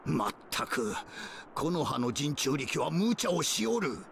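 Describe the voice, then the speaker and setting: High, creaky, gruff old ninja. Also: male voice, sing-song, unhurried, slight background noise, heavily acted. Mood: gruff, resolute. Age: older. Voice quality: creaky, gravelly. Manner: grumbling, old-man speech. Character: tiny, gruff, stubborn old male ninja leader. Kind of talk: Japanese anime game voice acting. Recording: loud background music bed.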